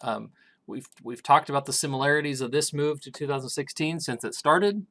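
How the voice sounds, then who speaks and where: A middle-aged man talks through grain price charts in a casual, halting way, filling gaps with ums and starting sentences over. His voice is raspy, and his pitch sits at an everyday middle level for a man. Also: fairly bright tone. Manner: hesitant, many ums and restarts. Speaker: grain market consultant.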